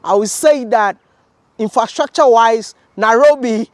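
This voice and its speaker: A man's voice: extremely high pitch, measured pace, no echo. A man from Ghana, hosting an upbeat travel vlog and interviewing people. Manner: excited, upbeat greetings. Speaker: vlogger and interviewer.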